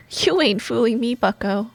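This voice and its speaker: A woman playing a sardonic, secretly soft female office rival in a romantic audio roleplay, teasing and expressive, with dramatic pauses. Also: on the high side, creaky, full character voice. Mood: exasperated, accusing.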